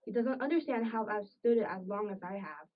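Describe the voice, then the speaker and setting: A woman's voice: mid-range voice, brisk, natural voice. Woman acting a bitter farm wife, muffled, distant. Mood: reproachful. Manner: reproachful, rural old-fashioned phrasing. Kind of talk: amateur period drama acting. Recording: muffled audio, distant microphone.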